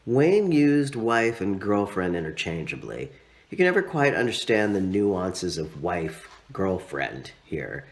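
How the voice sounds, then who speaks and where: A man with a low voice narrates a literary story he wrote. His pitch swings widely as he goes, and his phrasing is expressive and dramatic, with certain words stretched out. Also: a little slow. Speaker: author reading own story. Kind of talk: literary narration, read aloud.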